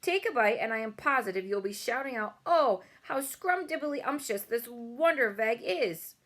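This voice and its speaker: A woman's voice: on the high side, expressive, moderate pace, a bit bright, pitched above the performer's own voice. Storybook friendly giant played by a woman: earnest, timidly brave, coaxing, with muddled comic grammar. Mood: earnest persuading.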